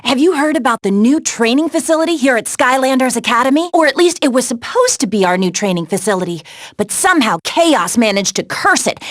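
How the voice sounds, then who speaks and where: A woman playing a spirited, capable young adventurer who guides missions in an animated video game, with a peppy, expressive, conversational delivery. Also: high-pitched, no room sound, clean studio sound. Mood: friendly, briefing.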